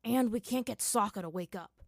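A woman's voice: medium pitch, normal speed, creaky, dry recording, clean studio sound. A woman voicing a serious, cautious young man who speaks firmly and urgently in a storybook reading.

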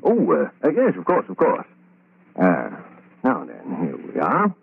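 A husky, swooping, sly gentleman. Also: low voice, wildly swooping pitch, unhurried, dry recording, exaggerated voice. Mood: sly, distracted. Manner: hesitant, muttering, repeats phrases, chuckling. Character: fussy, slyly genial older male employer, sinister undertone. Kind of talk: old-time radio horror drama acting. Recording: muffled vintage radio drama recording.

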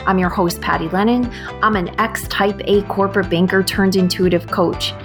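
Woman podcast host, mid pitch, thoughtful and measured, faint music underneath. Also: fairly even intonation. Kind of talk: podcast intro and interview.

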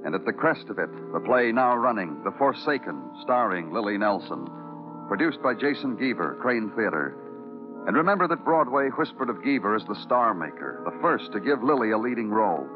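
An actor playing a somber police detective with measured, literary phrasing in an old-time radio noir drama. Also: male voice, fairly low pitch, very animated, over music. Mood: grave narration. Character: somber, poetic male police detective narrating his case. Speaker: lead actor and narrator. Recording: tinny, band-limited vintage radio recording. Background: dramatic musical score.

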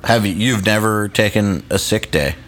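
A man's voice: lowish voice, normal speed, a bit bright, slight background noise. A man hosting a podcast in casual chat, rambling with lots of fillers and the odd swear. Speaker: podcast host.

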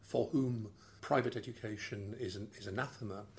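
Probing male interviewer, lowish voice. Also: steady tone, moderate pace, slight background noise. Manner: formal, probing questions. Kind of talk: interview questions.

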